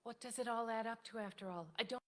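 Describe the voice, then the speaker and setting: An actress playing a modest, wistful, devoted widow in a staged reading of a period drama, with genteel, articulate phrasing. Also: female voice, a little high, fast, natural voice. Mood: self-deprecating. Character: elderly devoted widow writer, modest, wistful, self-doubting.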